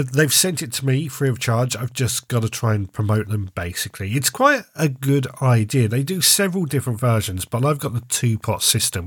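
A man's voice: mid-range voice, breathy edge, no room sound, studio quality. A man, stuffy-nosed with a sore throat, hosting a casual solo podcast in a chatty, rambling way and often restarting his phrases. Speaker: podcast host.

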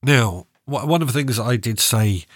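A man's voice: mid-range voice, lively intonation, normal speed, breathy edge, no room sound, studio quality. A man hosting a gardening podcast, congested from a cold and a sore throat, chatting casually and often losing his thread. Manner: rambling, self-correcting, chatty. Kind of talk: casual solo podcast chat.

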